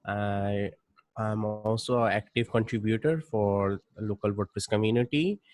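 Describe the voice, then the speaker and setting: Low-voiced man, matter-of-fact. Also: normal speed, darkish tone, dry recording. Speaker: digital marketer, community contributor. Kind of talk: casual self-introduction on a video call.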